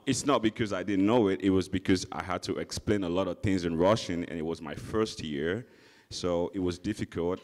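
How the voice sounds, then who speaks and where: A man's voice: low-pitched, darkish tone. A man from Nigeria, a graduate answering on a panel in an earnest way with fillers. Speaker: alumnus panelist. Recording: long hall reverb.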